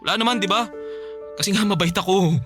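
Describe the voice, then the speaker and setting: A man's voice: sits high, fast, lightly acted. A man playing an obsessive, desperate spurned ex-boyfriend in a Filipino radio drama, whining and insistent as he pleads and threatens. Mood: menacing, jealous. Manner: whining, insistent, stammering repeated pleas. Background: music.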